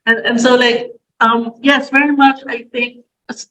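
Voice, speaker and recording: A woman's voice: fairly high pitch, level tone, pushed and bright. Young filipino woman on a video call, lots of ums and restarts, roomy echo, faint hiss. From Philippines. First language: Tagalog. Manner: halting, many fillers and restarts. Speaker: guest writer. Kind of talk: casual conversation. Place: room at home.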